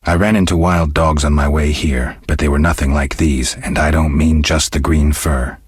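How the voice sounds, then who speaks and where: Stoic middle-aged monster hunter, extremely low pitch, heavy husky rasp, laconic, world-weary, video game dialogue. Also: male voice, level tone, normal speed, no room sound, exaggerated voice. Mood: measured, guarded. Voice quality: deep, gravelly, husky. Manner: dry, laconic, restrained delivery.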